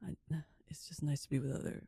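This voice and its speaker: A woman improvising a comedy scene as a guest student, talking in a halting, understated conversational way. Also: warm tone, dry recording, in a whisper, studio quality.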